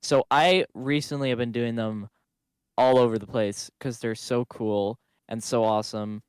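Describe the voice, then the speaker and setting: A man's voice: medium pitch, fairly even intonation, a little slow, clean tone, no room sound. A teenage young man co-hosting a podcast with casual, slangy, informal talk. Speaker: podcast co-host.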